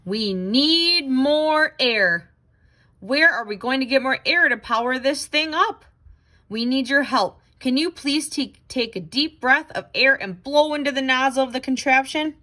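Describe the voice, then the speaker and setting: Animated, high-voiced woman reading a storybook. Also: a little slow. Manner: expressive, dramatic, exclaiming. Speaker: storybook reader. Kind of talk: animated children's picture book read-aloud.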